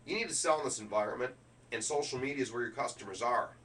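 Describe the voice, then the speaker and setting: A man's voice: mid-range voice, fast, a bit bright, recorded from a distance, a little hiss or hum. A middle-aged man running through a keynote speech draft, switching between presenter delivery and offhand asides. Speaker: keynote speaker rehearsing a speech.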